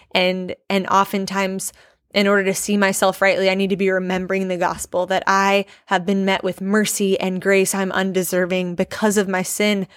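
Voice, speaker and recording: A young woman in her late twenties talks through a faith podcast, earnest and prayerful, reflecting aloud. Her voice sits at an ordinary middle pitch, recorded close and dry with no room sound. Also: no room sound. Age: 20s. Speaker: podcast host. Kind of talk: devotional podcast monologue.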